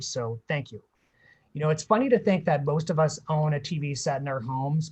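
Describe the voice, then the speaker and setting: A man's voice: fairly high pitch, low-quality recording. A man from Pittsburgh guest-hosting a video panel talk, reading upbeat prepared introductions. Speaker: guest host.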